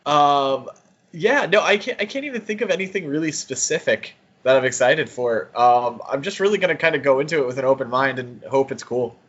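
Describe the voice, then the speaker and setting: An easygoing man co-hosts a casual podcast, dropping joking asides as he goes. His voice sits at a middling pitch. The audio is slightly dull and lo-fi. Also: expressive, a little fast, a bit bright, breathy edge. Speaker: podcast co-host.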